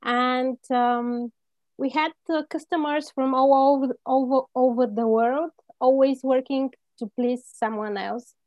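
A woman with a Bulgarian accent answering interview questions haltingly, in non-native English phrasing. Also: high-pitched, fairly even intonation, darkish tone. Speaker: interview guest, life coach. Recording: muffled, echoey room sound.

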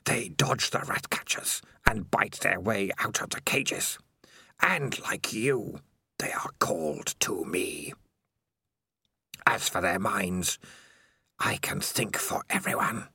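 A man voicing a sinister, hidden giant rat who speaks in a slow, hissing whisper. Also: deep voice, creaky, sharp tone, slightly rough, no room sound, studio quality, full character voice. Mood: menacing. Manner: slow, drawn-out, coaxing.

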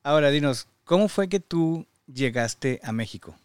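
A man hosting a podcast who thanks his listeners and interviews a guest in a slow, earnest way. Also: on the high side, expressive, clear, resonant tone, no room sound. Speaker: podcast host.

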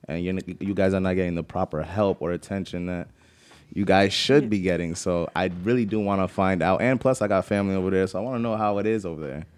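A man's voice: deep voice, fast talker, a little mellow, smooth. A man from Puerto Rico, a radio co-host, talking eagerly in casual chat.

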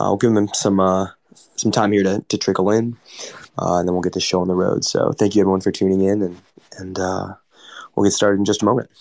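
A man with a lowish voice hosting a live audio stream. He greets everyone and banters loosely, with many ums and uhs. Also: darkish tone. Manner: friendly, jokey, filler-heavy. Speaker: livestream host. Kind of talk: casual show opening.

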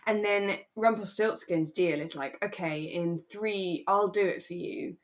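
Woman, slightly low voice, casual podcast chat, jokey, animated storytelling. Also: a little slow, noisy background. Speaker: podcast co-host. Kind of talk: relaxed casual chat about a radio drama.

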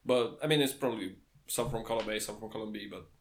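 A man's voice: on the low side, fast talker, a little hiss or hum. A man co-hosting a casual podcast chat, speaking in a dry, matter-of-fact way and laughing along. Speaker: podcast co-host.

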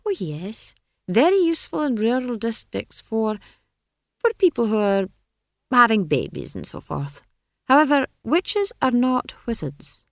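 Woman acting old male wizard, storybook, genial condescension, self-satisfied, raised swooping pitch, unhurried, dry sound. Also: big pitch swings, no echo. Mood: genial, patronising. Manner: patronising, unhurried, airy speech.

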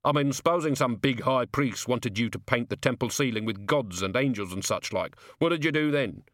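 A man's voice: medium pitch, normal speed, fairly bright tone, no echo, clean studio sound, lightly acted. A man voicing a cheeky, sceptical little male gnome in an audiobook, blunt and colloquial. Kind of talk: audiobook character reading.

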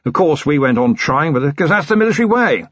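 A man's voice: a little high, big pitch swings, quick pace, husky, dry recording, voice pushed up. A man voicing a bluff, hearty elderly retired military colonel who rambles cheerfully. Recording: dry, slightly dull recording.

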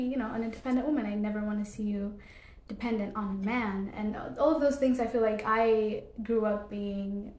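A woman's voice: mid-range voice, reverberant. A young woman from Ethiopia, a podcast guest, answering casually with many fillers and short laughs. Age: young adult.

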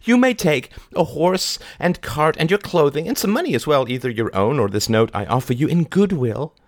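A man voicing a sly woman trickster who speaks gently and teasingly, with giggles. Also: sits low, lively intonation, moderate pace, no echo, clean studio sound, pitched above the performer's own voice. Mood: gleeful.

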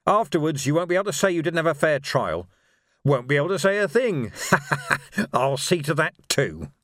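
A man voicing a gruff, righteous middle-aged policeman in an audiobook, speaking in emphatic, colloquial working-class phrases. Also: on the high side, sing-song, brisk, a bit bright, no room sound, studio quality, pitched above the performer's own voice. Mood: grim triumph. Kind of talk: dramatic audiobook character dialogue.

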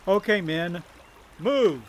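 An actor playing a brisk federal team leader in a 1930s thriller audio drama, speaking in clipped official phrases. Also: male voice, sits high, lively intonation, noisy background. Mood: commanding. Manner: military-style clipped orders.

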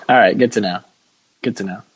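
A man's voice: on the low side, lively intonation, normal speed, husky, low-quality recording. Opinionated man hosting a sports podcast. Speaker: podcast host. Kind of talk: casual sports podcast chat.

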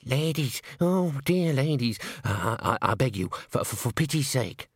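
A man's voice: a little high, lively intonation, unhurried, a bit bright, no echo, pitched above the performer's own voice. A nervous man from a storybook, an opera singer, acted out by a male narrator. He stammers and pleads in a hesitant, slightly lifted voice, recorded close and dry. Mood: pleading.

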